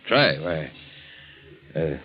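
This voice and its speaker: Shifty polite gambler husband, really deep voice that swings around, stalling, muffled old radio drama. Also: male voice, sing-song, very slow, breathy edge, exaggerated voice. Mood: evasive. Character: evasive, smooth-talking gambler husband in debt. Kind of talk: 1940s radio acting. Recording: dull, muffled 1940s radio recording.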